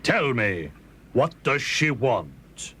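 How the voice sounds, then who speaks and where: A man voicing an obliging magical talking flounder in a musical children's story, measured and questioning. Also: medium pitch, sing-song, bright, forceful tone, husky, full character voice. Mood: inquiring.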